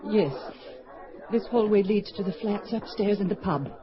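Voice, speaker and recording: A cool, defiant woman spy held captive in a radio play, acted by a woman. Her voice is of middle pitch, on a dull lo-fi recording. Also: moderate pace, close to an everyday voice. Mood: wary, defiant. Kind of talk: radio drama acting.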